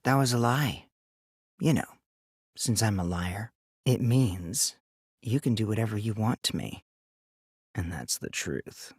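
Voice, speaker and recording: A man's voice: lowish voice, animated, unhurried, long pauses, breathy edge, no room sound, clean studio sound. A man voicing a mischievous, childish teenage boy who lies and schemes, in a youthful, teasing, theatrical video game performance. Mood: low, sinister. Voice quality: boyish, youthful put-on voice. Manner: teasing, theatrical, swinging from singsong to hushed menace. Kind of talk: English video game voice acting.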